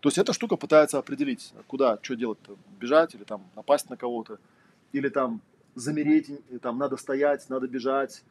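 A man delivers a relaxed, conversational lecture, full of fillers and rhetorical asides. His voice is husky and his delivery quick, at a middle pitch. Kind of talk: casual lecture.

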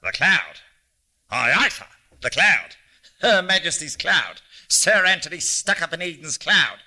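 A man's voice: on the high side, very animated, measured pace, sharp tone, voice pushed up, heavily acted. A man reading a story, voicing an abrasive, sardonic, ailing old man with theatrical delivery. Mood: mocking. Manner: theatrical, mocking rants.

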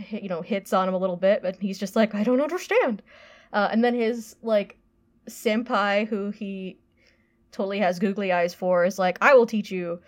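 A woman co-hosting a podcast, giving a casual review in halting, hesitant phrases. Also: medium pitch.

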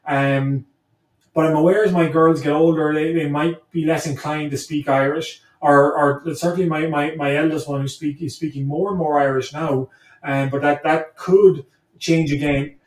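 A man's voice: on the high side, steady tone, normal speed. A man who is a native English speaker, talking reflectively as an interview guest, hesitant and repeating words as he thinks. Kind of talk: reflective conversation. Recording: roomy echo, distant from the mic.

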